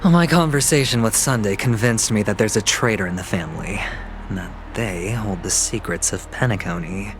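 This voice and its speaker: A man's voice: lowish voice, normal speed, music in the background, lightly acted. A man playing a suave, sly young gambler in a video game, with a smug, theatrical, teasing manner. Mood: smug, calculating. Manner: teasing, theatrical, breaking into soft chuckles and hushed asides.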